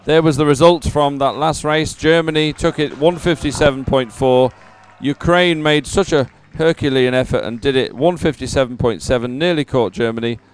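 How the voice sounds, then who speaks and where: Male live sports commentator, very clean smooth voice, mid-pitched, informal and encouraging. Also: moderate pace, few pauses.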